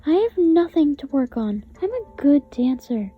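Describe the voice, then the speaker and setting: A woman's voice: high voice, very animated, normal speed, phone-quality sound, soft background music. A woman playing a self-assured, bratty young girl dancer in an amateur roleplay drama, speaking in a blunt, petulant way. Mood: defensive, boastful.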